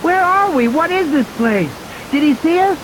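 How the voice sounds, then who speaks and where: A man's voice: extremely high pitch, lively intonation, normal speed, background noise, pitched above the performer's own voice. A man voicing a frightened, stubborn young girl in an audiobook. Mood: alarmed. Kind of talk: audiobook character voice.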